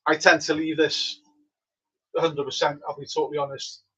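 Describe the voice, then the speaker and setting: A man's voice: on the high side, moderate pace, husky, dull audio. A man with a Welsh accent, a native Welsh speaker, chatting casually with viewers while livestreaming his homebrew brewday. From Wales. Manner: relaxed, chatty, answers viewers. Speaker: homebrewer streaming live. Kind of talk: casual live-stream chat. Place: home brewery.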